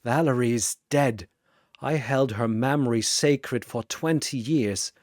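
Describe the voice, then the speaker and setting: A grim masked male avenger from a dramatic battle story, as the narrator puts him on. He speaks slowly and coldly, with solemn discipline. The sound is dry and close. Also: medium pitch, a little mellow, dry recording, lightly acted. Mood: icy. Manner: measured, solemn, cold pronouncements.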